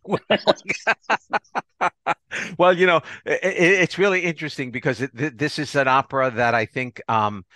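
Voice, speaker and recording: A man's voice: a little high, a little slow, bright, forceful tone, no room sound. An older man hosting an arts podcast in a conversational interview style. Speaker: podcast host.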